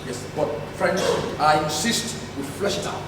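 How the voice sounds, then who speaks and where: Man playing young Nigerian idealist, high voice, earnest, declamatory, stage drama, noisy hall. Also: measured pace, close to an everyday voice. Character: earnest young idealist student founding a fraternity. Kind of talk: stage play acting. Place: stage in a hall, distant microphone. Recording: echoey noisy theatre from far off.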